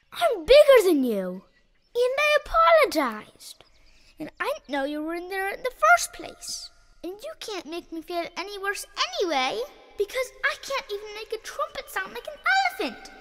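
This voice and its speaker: A man voicing a young male elephant, childlike, earnest and insecure, with a high, pleading, whiny voice. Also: wildly swooping pitch, moderate pace, a bit bright, exaggerated voice. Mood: whining, upset.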